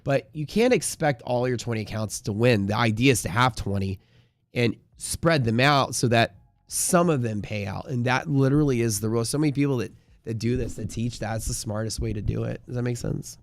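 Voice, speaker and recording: A man's voice: medium pitch, brisk, vocal fry, no room sound, clean studio sound. A man hosting a livestream in casual, slangy, emphatic conversation, answering viewers and giving his opinions. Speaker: livestream host and trading community leader.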